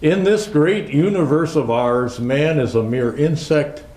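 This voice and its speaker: Guy doing santa reading a storybook, jolly old man, real slow and singsong, echoey room. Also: on the high side, darkish tone, close to an everyday voice. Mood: earnest. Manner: slow, theatrical, lilting recitation. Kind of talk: expressive storybook reading to children. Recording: roomy, echoing indoor sound.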